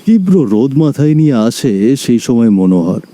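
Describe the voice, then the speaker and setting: A man's voice: medium pitch, very animated, fairly quick, warm tone. A man narrating a scripted cartoon story in a dramatic storytelling style.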